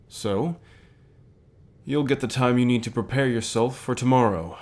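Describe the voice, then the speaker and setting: Guy playing a calm encouraging boss, lowish male voice, takes his time, asmr roleplay, bit flirty. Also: lightly acted. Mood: businesslike, encouraging. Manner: measured, unhurried, with pauses and soft chuckles. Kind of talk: ASMR roleplay, softly acted one-sided dialogue.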